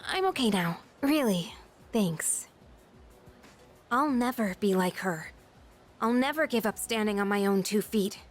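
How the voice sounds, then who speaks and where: A woman's voice: mid-range voice, lively intonation, a little slow, pauses often. A woman playing a proud, guarded, independent teenage girl in an anime-style video game, speaking in a frank, firm way. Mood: resolute. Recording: music behind the voice.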